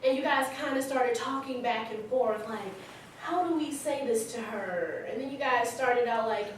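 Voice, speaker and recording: A woman's voice: a little high, normal speed, natural voice. A woman playing a candid, informal thirty-something daughter reminiscing conversationally in a staged reading. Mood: animated recollection. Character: candid, teasing thirty-three-year-old suburban daughter. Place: live staged reading in a large room. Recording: echoey, noisy hall from far off.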